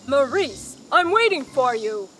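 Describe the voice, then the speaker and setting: A woman's voice: high voice, sing-song, a little slow, bright, forceful tone, heavily acted. A woman voicing a firm, patient father in a children's bedtime story, commanding yet encouraging. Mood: calling, impatient.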